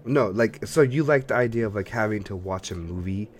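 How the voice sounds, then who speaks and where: A man hosting a podcast in casual chat, telling things in an animated, rambling way and acting out imagined reactions. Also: on the low side, brisk, slight background noise. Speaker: podcast host. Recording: background music under the voice. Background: music.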